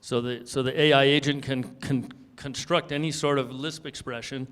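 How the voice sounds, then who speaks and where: A man's voice: mid-range voice, fairly even intonation, moderate pace, a bit bright, clean tone, long reverb tail. A man on a panel, explaining his views and thinking aloud as he goes. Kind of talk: panel discussion.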